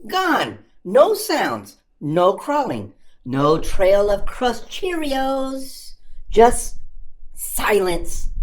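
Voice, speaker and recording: A woman voicing a cheeky, self-amused puppet comedian who tells stand-up stories with dramatic pauses and exaggerated emphasis. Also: on the high side. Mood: mock dramatic. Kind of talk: family-friendly stand-up comedy storytelling.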